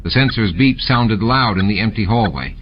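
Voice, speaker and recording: A man's voice: lowish voice, animated, moderate pace. A man narrating a scripted story as an audiobook reader. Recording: muffled, with clear background noise. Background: noise and ambient sound effects.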